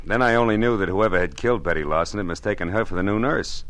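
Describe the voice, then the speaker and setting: A man's voice: on the low side, fast talker, a bit bright. An actor playing a hard-boiled male private detective who narrates in a dry, wisecracking way, in a 1940s radio drama. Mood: explaining. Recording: old radio broadcast with faint music.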